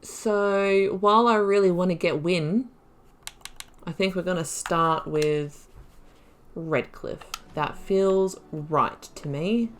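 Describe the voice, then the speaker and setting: Low voiced woman doing a chatty lets play, kinda rambling and thoughtful, thinks out loud as she goes. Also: takes it slowly, a little hiss or hum. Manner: rambling, reflective, thinking aloud. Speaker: gaming video host. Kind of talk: casual playthrough commentary with story analysis.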